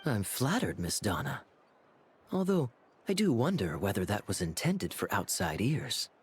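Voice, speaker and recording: A suave knight captain from a video game, with a very husky, breathy voice on the low side. He teases in courtly phrases with a lively, sliding pitch. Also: unhurried, full character voice. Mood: amused, teasing. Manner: smooth-talking, courtly, drawling. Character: suave, teasing young male knight captain. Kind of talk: video game character acting.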